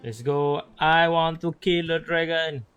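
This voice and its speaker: A man's voice: fairly high pitch, measured pace, fairly bright tone, clean tone, highs cut off. A man giving casual live commentary as he streams a video game. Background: game music with plucked strings.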